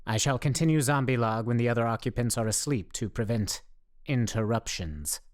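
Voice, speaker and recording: A man's voice: medium pitch, moderate pace, close to an everyday voice. A man acting a pompous, mock-official oddball survivor. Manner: mock-official, verbose, self-important. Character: pompous oddball young man narrating his survival log. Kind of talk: radio play acting.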